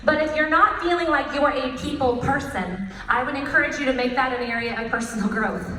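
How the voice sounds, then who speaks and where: Husky lady giving a talk, laughs a lot, high voice, big echoey room. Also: brisk, slight background noise. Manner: lively, laughing often. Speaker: guest speaker. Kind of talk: presentation to an audience. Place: large echoey hall. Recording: roomy hall recording from a distance.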